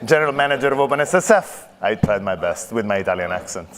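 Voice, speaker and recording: A man hosting an event, introducing panelists with halting fillers. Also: fairly low pitch, expressive, heavy background noise. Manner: hesitant, reading names. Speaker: event host. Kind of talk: stage introduction. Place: large conference hall. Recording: long hall reverb.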